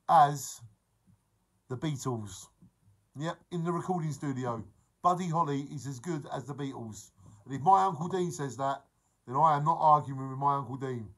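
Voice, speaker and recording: A man in his forties livestreaming to viewers, talking fast and excitably and breaking into song, filmed on a phone held close in a room. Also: a little high, slightly rough, poor audio. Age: 40s. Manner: excitable, sings snatches and repeats phrases. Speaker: vlogger. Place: indoor room beside a fish tank. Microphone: phone held close at arm's length.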